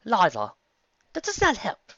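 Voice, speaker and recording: A man's voice: high-pitched, huge pitch swings, measured pace, vocal fry, a bit bright, no room sound, lo-fi sound, pitched above the performer's own voice, full character voice. A man voicing a prim, sarcastic young female pony. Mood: exasperated.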